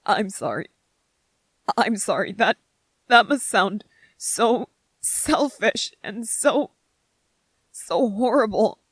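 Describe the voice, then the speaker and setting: A gentle young soldier, as a performer acts him out speaks very slowly in a soft-edged, husky voice. He is vulnerable and devoted, halting between phrases in an intimate, dry-sounding ASMR roleplay. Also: mid-range voice, lively intonation, no echo, heavily acted. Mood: overwhelmed, tender. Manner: tender, hesitant, halting, earnest.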